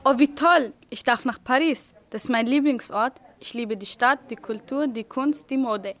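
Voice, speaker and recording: A young female pupil playing an excited, friendly young woman in an amateur school radio play. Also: high voice, normal speed.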